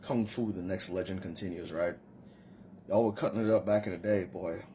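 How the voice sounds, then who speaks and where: A man in his forties with a Trinidadian accent rants casually, boasting and scolding his critics. His voice sits very low. A faint hiss runs behind him. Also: steady tone, normal speed, dark tone. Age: 40s. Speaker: commenter in a stitched video. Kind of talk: casual rant to camera.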